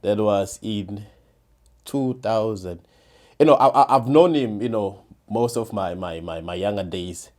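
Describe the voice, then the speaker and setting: A man's voice: fairly low pitch, measured pace, a little mellow. A man, a podcast guest chatting casually and often restarting and repeating words. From South Africa, KwaZulu-Natal. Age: 30s. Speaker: podcast guest, former defense attorney. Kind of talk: casual interview chat.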